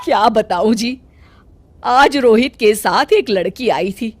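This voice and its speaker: A woman's voice: a little high, animated, slow pace, over music. A woman voicing a domineering, scolding middle-aged mother-in-law in a cartoon story. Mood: worried. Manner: scolding, commanding.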